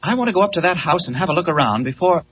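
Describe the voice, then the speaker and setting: Man acting as brave American hero, high lively male voice, brisk, emphatic, reassuring, radio serial, dull noisy vintage recording. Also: close to an everyday voice. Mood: calm, reassuring.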